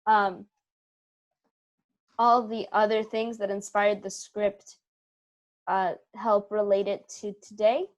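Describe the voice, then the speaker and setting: A woman speaks as an interview guest at a medium pitch. She answers casually but thoughtfully, clarifying her points one by one and stressing the ones that matter. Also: flat delivery, slow, pauses often. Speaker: interview guest, theatre company member and writer.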